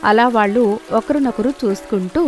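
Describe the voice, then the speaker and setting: A woman's voice: a little high, moderate pace, a little mellow, faint music behind. A woman telling a scripted children's story as its narrator.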